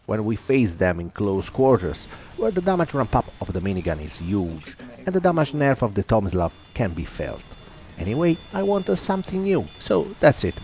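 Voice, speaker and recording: A man's voice: on the low side, a little mellow, a little hiss or hum. A man giving a formal, wordy voice-over commentary over his own gameplay video. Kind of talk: scripted voice-over narration.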